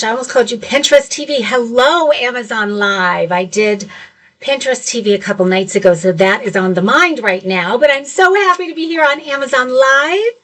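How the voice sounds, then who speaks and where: A woman's voice: on the high side, a bit bright, distant mic. A woman in her fifties hosting a live shopping stream from her home office, chatting upbeat and directly to her viewers. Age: 50s.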